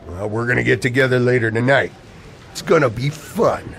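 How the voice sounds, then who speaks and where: A man's voice: mid-range voice, normal speed, creaky, fairly bright tone. An actor playing an edgy, secretive man in radio play dialogue, coy and evasive with an old friend. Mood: friendly, teasing. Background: music.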